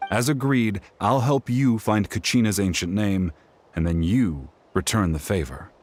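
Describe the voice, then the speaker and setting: A man's voice: fairly low pitch, unhurried, slight background noise, natural voice. A man voicing a grief-driven, determined warrior in a video game, speaking with earnest, solemn intensity. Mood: solemn, resolute. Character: grief-driven, determined male warrior searching for a lost comrade.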